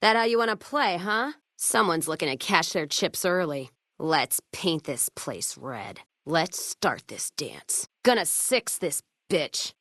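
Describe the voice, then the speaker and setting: A woman's voice: lowish voice, a bit bright, no room sound, clean studio sound, exaggerated voice. An actress playing a tough, hard-drinking frontier caravan woman in a video game, with an American accent and a folksy, sardonic, foul-mouthed way of talking. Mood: menacing. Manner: folksy, sardonic, drawling slang. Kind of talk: video game character voice lines.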